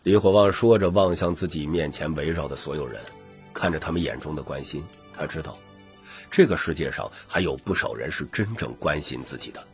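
A man's voice: rumbling low, animated, brisk, faint music behind. A man reading dramatic scripted fantasy narration as an audiobook narrator.

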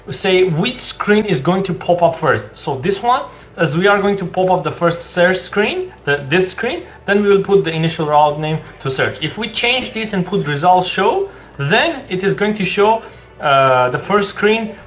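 Halting young man explaining code. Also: high-pitched, fairly bright tone. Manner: halting, many restarts and fillers, explanatory. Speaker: coding tutorial host. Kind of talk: screen-share coding tutorial. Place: small room indoors. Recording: dull lo-fi sound with faint music.

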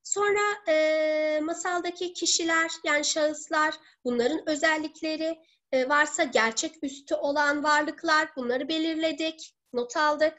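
A woman in her thirties, a teacher presenting in an online seminar from home, explaining step by step in a halting way. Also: sits high, fairly even intonation, fairly bright tone. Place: living room at home. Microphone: laptop or webcam video call.

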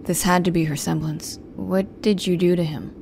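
A woman's voice: on the low side, steady tone, moderate pace, gravelly, background noise. A woman playing a guarded, protective young woman, narrating an audio drama in the first person in a restrained, brooding, stern manner. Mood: irked, wary.

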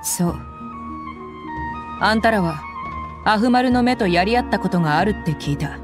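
A woman voicing a tough young mercenary who talks in a rough, casual way in a game cutscene. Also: medium pitch, animated, normal speed, over music, lightly acted. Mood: easygoing. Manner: rough, casual, gruff slang. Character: tough young female mercenary, blunt, easygoing. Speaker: game character.

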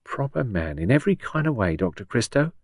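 A man's voice: medium pitch, sing-song, brisk, a little mellow, slightly rough, no echo, clean studio sound, pitched above the performer's own voice. A man voicing a shrewd, blunt old working-class woman patient in an audiobook, chatty and colloquial with folksy endearments. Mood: cheeky, resigned. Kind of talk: audiobook character dialogue.

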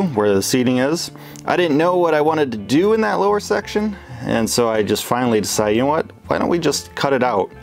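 A young man casually talking through an update on the stadium he is building, rambling with plenty of fillers and small chuckles. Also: lowish voice. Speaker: LEGO builder showing his model stadium. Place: indoor room with the model, wood-paneled walls.

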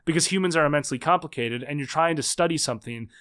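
A man in his thirties, a podcast guest and author, explaining at length in quick conversational speech with frequent restarts and repeated words. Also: on the high side, fairly bright tone. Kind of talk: conversational interview.

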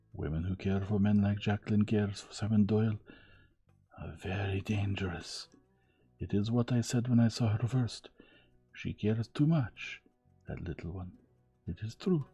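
A man voicing a shrewd, fatherly detective in an audiobook, with measured, emphatic, philosophical phrasing. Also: lowish voice, dark tone, husky, dry recording, soft background music, voice pushed up. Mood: grave, musing.

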